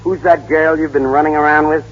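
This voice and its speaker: An actor playing a gruff, cynical fixer who talks in slang, in 1930s radio style. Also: male voice, on the high side, level tone, a little fast, slight background noise, lightly acted. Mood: accusing, scornful. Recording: muffled, reverberant old 1930s radio recording.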